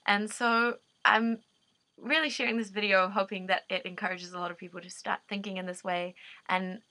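A woman's voice: medium pitch, fairly even intonation, normal speed, bright, forceful tone, no room sound. A young woman with a New Zealand accent, a permaculture designer giving an unscripted, earnest talk to her laptop camera in a dim room, often restarting as she searches for words. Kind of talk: unscripted explanatory monologue. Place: dim indoor room, seated. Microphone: laptop webcam at desk.

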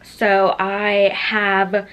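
A young woman chatting casually to camera in a small dorm room, animated and quick with asides. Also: mid-range voice, low-quality recording. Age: young adult. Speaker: vlogger. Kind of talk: casual vlog chat to camera. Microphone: handheld camera close up.

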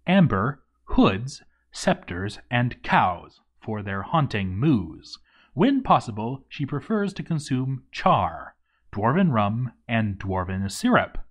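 A man giving an expressive scripted reading of a game story, with wry, deadpan asides. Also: mid-range voice, muffled recording. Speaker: let's-read narrator.

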